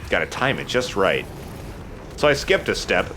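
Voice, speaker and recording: A man's voice: fairly low pitch, big pitch swings, normal speed, noisy background. A man, a young adult, giving casual, joking gameplay commentary in a sardonic, grumbling, sweary way. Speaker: let's play host playing the game.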